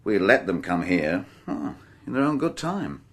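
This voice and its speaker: Wry, low-voiced detective. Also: male voice, sing-song, a little slow, slightly rough, heavily acted. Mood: dismissive, dry. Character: exasperated, dry-witted male police detective, middle-aged. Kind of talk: naturalistic radio drama acting.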